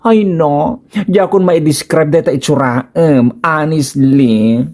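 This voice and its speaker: A woman with a Filipino accent playing a gossipy, teasing village friend in a comedy radio drama, with drawn-out phrasing. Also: deep voice, darkish tone.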